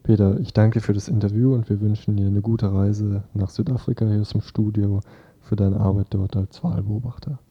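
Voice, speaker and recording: A man's voice: on the low side, flat delivery, moderate pace, slightly rough. A man hosting a radio interview from the studio, speaking as the interviewer. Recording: dull lo-fi radio recording.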